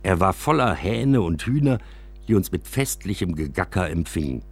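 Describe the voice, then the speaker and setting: Husky, highly expressive male storyteller. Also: normal speed. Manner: expressive, acts out quoted dialogue. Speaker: narrator and reader of a memoir. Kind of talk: scripted literary narration, first-person storytelling.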